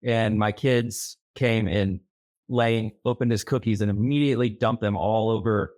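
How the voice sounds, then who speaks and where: A man's voice: lowish voice, steady tone, normal speed, darkish tone. Teasing male podcast co-host. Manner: teasing, asks questions. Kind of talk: casual podcast chat.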